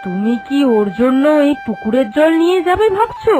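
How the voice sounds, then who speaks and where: A woman's voice: high-pitched, very animated, normal speed, a little mellow, soft background music. A woman voicing a meddling, critical village neighbour in a cartoon, insistent and admonishing. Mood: nosy, knowing. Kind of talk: animated cartoon dialogue.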